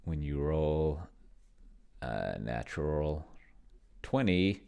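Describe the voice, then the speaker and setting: A man's voice: extremely low pitch, very animated, very slow, vocal fry, dark tone, clear, resonant tone. A man giving casual, rambling commentary on a solo tabletop game, thinking aloud and muttering to himself as he types. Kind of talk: casual screen-recording commentary, thinking aloud while typing. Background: keyboard typing.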